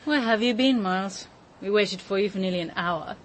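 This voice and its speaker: An actress plays an exacting British hostess in a radio drama. She sounds pointed and reproachful at a middle pitch. Slight background noise is present. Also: a little fast, lightly acted.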